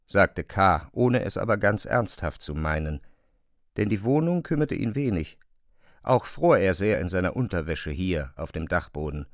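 Low-voiced male literary narrator, closely recorded. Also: dry recording, clean studio sound.

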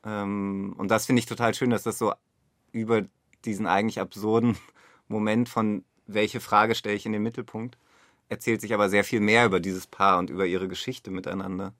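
A man's voice: fairly low pitch, level tone, normal speed, a bit bright, no echo, studio quality. A man, a radio play director, giving his reflective view of a work in a conversational interview.

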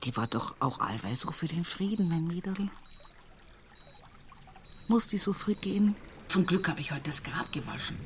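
An actress playing a devout, humorous Bavarian countrywoman looking back on her life in an intimate radio monologue, earthy and often breathy. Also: fairly low pitch, lively intonation, normal speed, long pauses, slight background noise, close to an everyday voice. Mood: tender, grieving. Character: rural Upper Palatinate woman, devout, humorous, wistfully reminiscing. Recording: music behind the voice. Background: music.

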